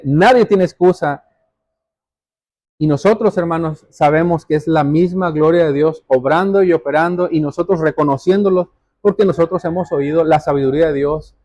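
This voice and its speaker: A man's voice: high-pitched, warm tone, no room sound. A man preaching a church sermon, reading scripture and exhorting, repeating phrases for emphasis.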